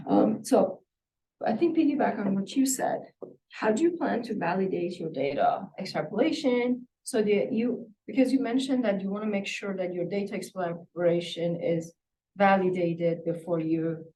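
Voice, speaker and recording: A woman's voice: mid-range voice, normal speed, dark tone, slight background noise. A woman instructor giving spoken feedback to a student, probing with follow-up questions. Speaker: university instructor reviewing a student project. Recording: echoey room, distant microphone.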